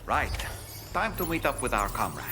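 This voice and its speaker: A man's voice: mid-range voice, wildly swooping pitch, normal speed, background noise. A man playing a mild, polite companion in a video game, guiding a traveler helpfully. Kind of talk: video game cutscene dialogue.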